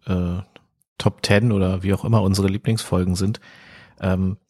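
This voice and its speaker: Blunt, teasing low male co-host. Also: flat delivery, normal speed, darkish tone. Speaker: podcast co-host. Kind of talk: casual chat.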